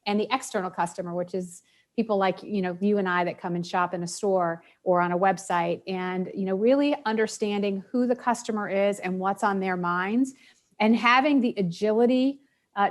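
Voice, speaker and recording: Female executive, middle pitch, easy conversational panel talk. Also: moderate pace. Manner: conversational, many fillers. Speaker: retail executive. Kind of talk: panel discussion.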